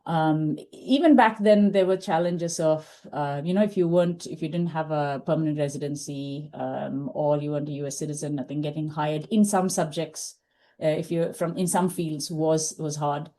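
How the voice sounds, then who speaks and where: Low, mellow-voiced woman telling her story. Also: fairly even intonation, moderate pace. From India. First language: Tamil. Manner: thoughtful, many fillers and restarts. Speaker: podcast guest. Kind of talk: reflective interview storytelling.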